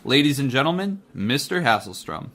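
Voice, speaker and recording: An actor playing a formal announcer of a radio mystery show, reading a script. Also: male voice, mid-range voice, big pitch swings, moderate pace, fairly bright tone.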